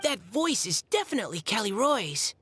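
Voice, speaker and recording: A woman's voice: mid-range voice, very animated, moderate pace, a bit bright, a little hiss or hum. A woman playing an earnest, knowledgeable young female adventurer in a video game cutscene. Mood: earnest explaining. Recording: background music under dialogue.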